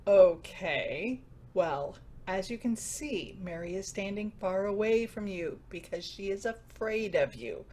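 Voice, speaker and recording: A woman's voice: medium pitch, lively intonation, measured pace, few pauses, a little mellow. A woman playing a stern, exasperated radio managing director, officious and curt, in a comedic audio drama.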